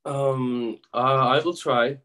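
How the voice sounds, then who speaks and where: A young man from Turkey, a guest musician, answering interview questions softly with many fillers and pauses. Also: medium pitch. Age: young adult. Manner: soft-spoken, full of fillers and pauses.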